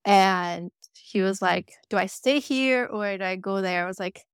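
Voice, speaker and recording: Chatty woman with a smooth voice. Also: medium pitch, a little slow, dry recording, clean studio sound. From born in Croatia, raised in the United States. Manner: chatty, frequent "like" fillers and laughs. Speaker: podcast guest. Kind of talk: casual conversational storytelling.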